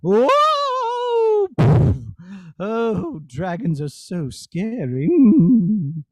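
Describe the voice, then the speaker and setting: A man's voice: sits high, huge pitch swings, drawn-out words, dry recording, voice pushed up, full character voice. A man voicing a timid puppet king who is terrified of dragons, with an exaggerated fearful manner. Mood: frightened.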